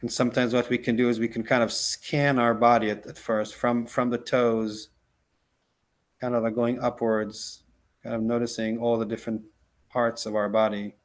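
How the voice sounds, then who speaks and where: A rabbi whose first language is Hebrew, teaching a casual class and then leading a slow, gentle guided meditation, full of restarts and "right?" checks. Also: male voice, mid-range voice, little pitch movement. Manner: halting, many restarts and "like", "right?".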